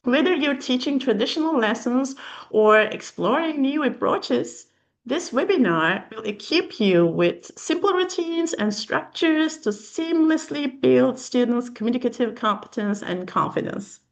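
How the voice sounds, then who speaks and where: A woman's voice: high-pitched, expressive, unhurried, gravelly, rough recording. A woman moderating an online webinar, reading out formal welcoming announcements over a video call. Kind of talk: scripted introduction.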